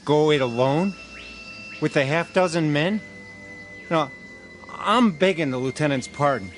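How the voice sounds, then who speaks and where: A man's voice: on the high side, a little slow, soft background music, natural voice. An actor playing a mocking American infantryman in a radio drama, with a folksy, teasing drawl. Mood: incredulous protest.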